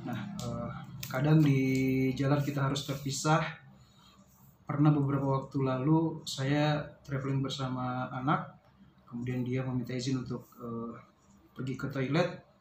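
A man's voice: medium pitch, level tone, darkish tone. A man, explaining casually and hesitantly, as in an unboxing vlog. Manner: hesitant, pausing to search for words. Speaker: vlogger reviewing a product. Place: small bare-walled room indoors. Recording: roomy echo, faint background noise.

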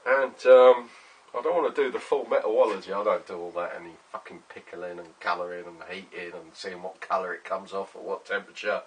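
A middle-aged man with a husky voice, chatting casually and rambling in a workshop vlog, often sweary. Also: deep voice, a little fast, rough recording. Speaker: vlogger, workshop owner. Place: small cluttered workshop, indoors.

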